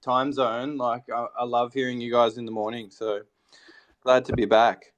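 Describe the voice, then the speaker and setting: A man chatting casually on an early-morning video call, relaxed, often laughing. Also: mid-range voice, moderate pace, muffled recording. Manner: laid-back, laughs often. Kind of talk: casual chat. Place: at home computer, early morning.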